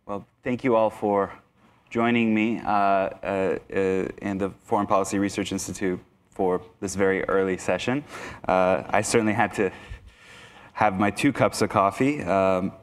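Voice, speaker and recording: A young man from Iran giving a conversational lecture to teachers in a conference hall, speaking into a lapel mic with many fillers and restarts. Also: fairly low pitch. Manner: conversational, self-deprecating, frequent restarts and fillers. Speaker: guest speaker. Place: conference hall, indoors, large.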